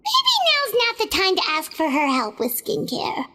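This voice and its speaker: A woman voicing a tiny, excitable, childlike fairy companion in a video game, full of exclamations. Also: extremely high pitch, normal speed, heavily acted. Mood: chatty. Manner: exclamatory, speaks of herself by name.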